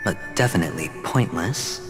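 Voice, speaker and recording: An actor playing a self-assured, theatrical gambler and schemer in a video game, taunting and deliberate in his delivery. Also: low voice, animated. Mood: cocky. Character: cocky, theatrical male gambler and schemer on trial. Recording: reverberant, with loud music behind.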